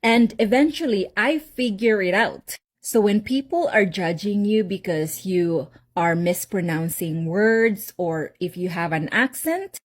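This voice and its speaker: A woman with a Filipino accent talks straight to her viewers in a frank, chatty vlog. Her voice sits at a middle pitch for a woman. She speaks openly and casually, as if chatting with friends. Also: a little slow, hardly any pauses. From Philippines. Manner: chatty, frank, mimics mocking laughter. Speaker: vlogger. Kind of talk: casual talk to camera.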